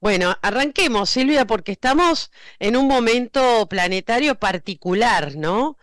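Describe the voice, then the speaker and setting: Smooth, close-mic interview host. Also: female voice, on the high side, expressive, measured pace, dry recording. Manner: rambling, hesitant questions. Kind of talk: interview hosting.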